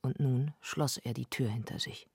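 A man with a husky voice narrating a literary audio drama. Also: medium pitch, steady tone, normal speed, a little mellow, no room sound, clean studio sound.

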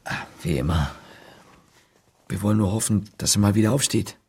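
A man's voice: fairly low pitch, normal speed, a little mellow, husky. An actor playing an awkward, friendly village man who rambles and is eager to please, in a radio play. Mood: chatty.

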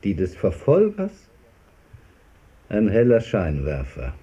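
An actor playing an eccentric, giggling drunken vagrant who speaks in playful, mocking riddles in a radio play. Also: male voice, on the low side, huge pitch swings, takes it slowly, dark tone, husky, dull audio, full character voice. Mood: brooding, playful. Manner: chuckling, sing-song, playful riddling. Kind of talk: German radio play acting.

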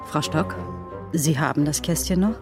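An actress playing an impatient, sharp-tongued investigator in a radio drama, curt and commanding. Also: female voice, low voice, moderate pace, over music, natural voice. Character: brusque, impatient young female investigator, authoritative and sharp-tongued.

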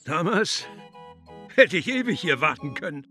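Sharp, high-pitched grumbling old carpenter. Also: male voice, sing-song, a little slow, faint music behind, exaggerated voice. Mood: wistful grumbling. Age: 60s. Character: grumbling grey-haired carpenter, nearly seventy, mourning his beer mug. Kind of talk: radio play acting.

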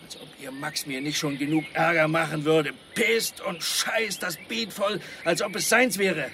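An actor playing a grumpy, pedantic neighbour who hates cats, gruff, scolding and coarse, in a German radio crime drama. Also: male voice, a little high, steady tone, measured pace, sharp tone, slight background noise. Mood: indignant scolding.

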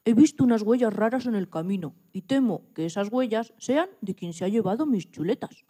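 A woman's voice: medium pitch, expressive, brisk, dark tone, lightly acted. A woman voicing a small, anxious and polite piglet in a children's radio play, exclaiming and muttering questions to itself. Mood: polite, hopeful. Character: small anxious, polite young piglet searching for lost chops.

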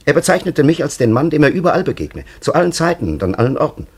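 A man's voice: a little high, sing-song, fast, breathy edge, heavily acted. An actor playing an ancient, cunning and patronising time traveller in a radio play, telling his story in a solemn, elaborate, theatrical way. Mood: indignant, agitated.

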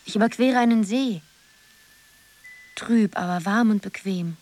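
An actress playing a dreamy, wistful young woman who muses haltingly in a poetic radio drama. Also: mid-range voice, darkish tone, natural voice. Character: Zoe, dreamy young woman drifting through a surreal world.

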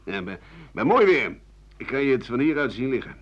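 A disgraced old writer in a radio drama, bitter and sardonic. His grumbling, theatrical delivery swings widely in pitch. The old recording sounds dull and muffled. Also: sing-song, fairly quick. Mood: wry, bitter. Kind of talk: 1980 Dutch radio play monologue.

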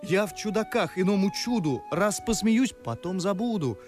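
An actor playing a witty, talkative, mocking young gentleman in an ardent, ironic verse radio drama. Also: high voice, animated, normal speed, soft background music. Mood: ardent, pleading. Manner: ardent, ironic, theatrical declamation. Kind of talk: Russian classical verse radio drama acting.

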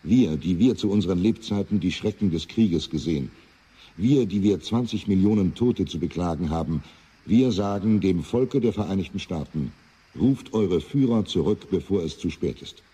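A man's voice: low voice, lively intonation, normal speed, mellow, husky, lo-fi sound. A man playing an elderly, solemn Soviet leader making a declamatory, imploring appeal in a radio play. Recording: echoing long hall reverb.

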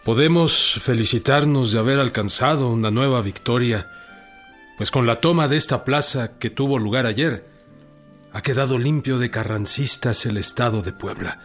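An actor playing an earnest, grave and determined peasant revolutionary general in a radio drama. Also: male voice, mid-range voice, normal speed, a bit bright, soft background music, close to an everyday voice. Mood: grave, formal.

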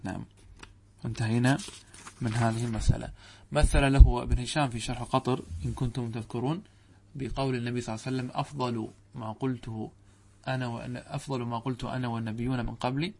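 A man's voice: mid-range voice, steady tone, quick pace, gravelly, long pauses, mellow, slightly rough. A man teaching Arabic grammar to students, posing questions to the class and answering them himself, reciting quoted text. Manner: addresses students directly, checks understanding.

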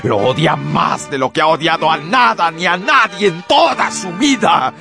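A man narrating a radio drama in an intense, theatrical way with deliberate pauses. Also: on the high side, animated, gravelly, music in the background.

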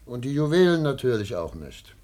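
An actor playing a skeptical, commanding American investigator who also narrates, in 1950s German radio play style. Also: male voice, medium pitch, very animated, moderate pace, a little mellow, no echo, lightly acted. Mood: probing. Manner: clipped, sardonic, commanding. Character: brusque, skeptical American investigator narrating his own story.

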